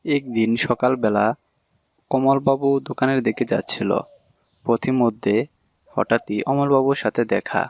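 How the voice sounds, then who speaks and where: A man's voice: medium pitch, steady tone, measured pace, creaky, long pauses, darkish tone, dry recording. A man reading scripted story narration for a cartoon.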